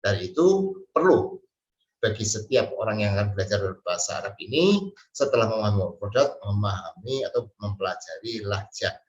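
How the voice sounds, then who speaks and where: A man's voice: fairly low pitch, expressive. Male teacher from Central Java, hesitant delivery, distant lo-fi recording with room echo. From Central Java, Indonesia. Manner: slow, hesitant, with many fillers and drawn-out pauses. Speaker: Arabic teacher. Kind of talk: spoken language lesson. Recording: distant mic, roomy and dull sound.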